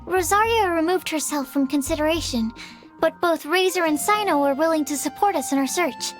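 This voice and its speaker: A woman's voice: sits high, brisk, faint music behind, lightly acted. A woman voicing a helpful, polite young girl in a video game, articulate and upbeat. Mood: thoughtful, explaining.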